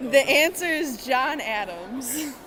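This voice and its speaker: Higher-voiced young woman running a casual quiz. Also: rough recording. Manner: giggly, informal. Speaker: street quiz interviewer. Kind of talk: casual on-the-street quiz, light and chatty. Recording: busy public crowd noise behind.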